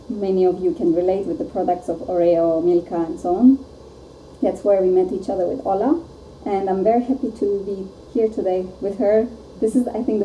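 A woman's voice: mid-range voice, dark tone. A woman from Turkey, a startup co-founder, chatting in a friendly way as a podcast guest. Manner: friendly, laughs easily. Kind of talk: casual podcast chat. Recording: distant, roomy with faint noise.

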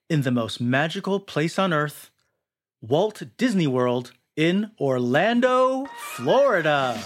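A young man reading scripted documentary narration with a dramatic storytelling delivery. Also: a little high. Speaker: narrator of a feature article. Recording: music bed under the voice. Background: music.

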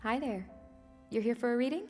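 A woman's voice: a little high, brisk, warm tone, faint music behind, natural voice. A woman playing a young, alluring tarot reader in a naturalistic audio drama, with a teasing, mystical manner. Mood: playful, welcoming. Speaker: actress.

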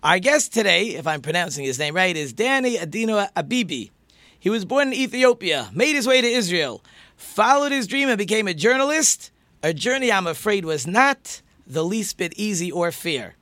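A man's voice: sits high, sing-song, moderate pace, sharp tone, no room sound. A man, a rabbi, hosting an interview show in a friendly, chatty way.

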